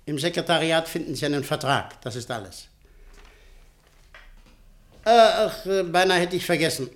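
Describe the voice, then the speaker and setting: A ruthless company director in a radio drama, his male voice pitched fairly high. He is curt and controlled, handing down orders as someone who expects no argument. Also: moderate pace, fairly bright tone. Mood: businesslike, curt. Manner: curt, commanding, coolly controlled. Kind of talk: German radio play acting.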